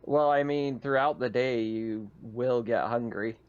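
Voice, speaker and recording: A man's voice: medium pitch, a little slow, smooth. A man acting as game master, casually explaining rules to players in a conversational way. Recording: dull lo-fi voice recording.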